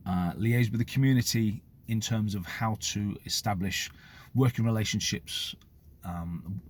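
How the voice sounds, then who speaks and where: A man's voice: sits low, slight background noise. British man explaining his view. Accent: British English. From United Kingdom. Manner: measured, explanatory, occasional fillers. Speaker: former police officer giving his view. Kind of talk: direct-to-camera opinion talk.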